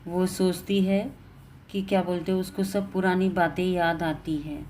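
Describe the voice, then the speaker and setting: A woman's voice: on the low side, flat delivery, normal speed, darkish tone, slight background noise. A woman narrating a family story in an informal storytelling style.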